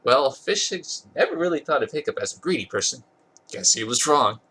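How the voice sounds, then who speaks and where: Congested, husky man reading a story aloud. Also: medium pitch, lively intonation, fairly quick, pushed and bright, low-quality recording. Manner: amused, stumbles over words and corrects himself. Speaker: podcast host reading fan fiction aloud. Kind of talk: dramatic reading of a comic story.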